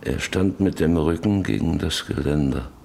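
An actor playing a guilt-ridden middle-aged husband, brooding and confessing in a German radio play monologue. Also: male voice, extremely low pitch, moderate pace, creaky, darkish tone, a little hiss or hum. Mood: haunted confessing.

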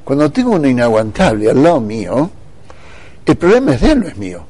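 A man's voice: medium pitch, wildly swooping pitch, brisk, mellow. A man speaking as a talk show guest, assertive and sententious in motivational conversation. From Argentina.

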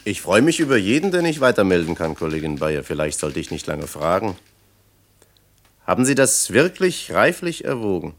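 Radio play casting: earnest bureaucrat and family man, deep, formal, cautious delivery. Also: sing-song, exaggerated voice. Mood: formal, probing. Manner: measured, formal, probing. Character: earnest male official, father of three, cautiously discouraging. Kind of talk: German radio play acting.

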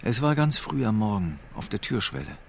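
A man's voice: big pitch swings, a little fast, darkish tone, a little hiss or hum. An actor playing a weary, melancholic homeless man who broods over his lost family in a radio drama, with subdued delivery. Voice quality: low, gravelly. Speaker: narrator and protagonist. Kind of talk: radio drama first-person narration and dialogue.

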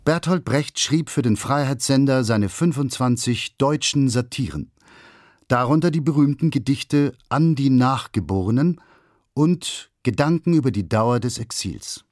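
A man's voice: mid-range voice, measured pace, a little mellow, no room sound, clean studio sound. A man delivering scripted documentary narration in a factual, formal manner. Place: dry studio.

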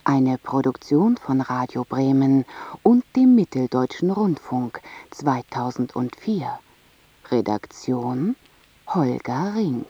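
A radio announcer reading scripted credits in a formal, measured way. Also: mid-range voice, lively intonation, mellow, husky, dry recording, highs cut off.

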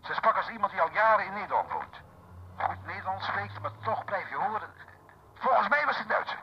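A man playing a careful, obliging hotel receptionist in a radio drama, creaky and husky, speaking formally through a telephone filter. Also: high voice, lively intonation, slight background noise. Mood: careful, hesitant. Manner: precise, measured, formal. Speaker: actor in a detective radio play. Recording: dull, muffled telephone line.

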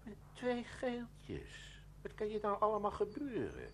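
Radio drama: florid, insistent busybody, stammering and repetitive, extremely animated intonation. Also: male voice, high voice, unhurried, a little hiss or hum, heavily acted. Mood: wheedling. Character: pompous, stammering, pushy stranger offering himself as father. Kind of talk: Dutch radio play acting.

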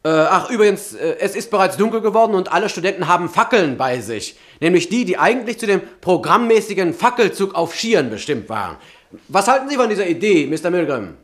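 Ein Schauspieler spielt in einem deutschen Hörspiel einen vorlauten jungen Drehbuchautor mit schwungvoller, flapsiger Art. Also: male voice, high voice, brisk. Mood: excited pitching. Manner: animated, showy, flippant. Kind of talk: German radio drama acting.

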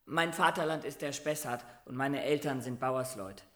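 Man playing a bright-voiced naive peasant lad. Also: medium pitch, normal speed, lightly acted. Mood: earnest, defensive. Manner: simple, earnest answers. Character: naive, plain-spoken young peasant lad held prisoner. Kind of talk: radio play acting. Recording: echoey interrogation room.

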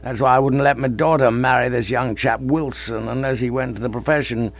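A man's voice: medium pitch, fairly even intonation, brisk, pitched above the performer's own voice, lightly acted. A man voicing an old male stage veteran, blustering and insistent, in a storybook reading. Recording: dull lo-fi with mains hum.

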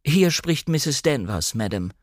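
A man voicing a coolly deferential female housekeeper of a manor in an audiobook drama, with formal, measured politeness. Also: mid-range voice, sing-song, no echo, clean studio sound, pitched above the performer's own voice.

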